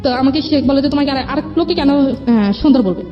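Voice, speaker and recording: A woman's voice: high-pitched, brisk. A young woman tells her own life story as an interview guest, rambling, repeating herself and quoting conversations. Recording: dull lo-fi audio with music behind.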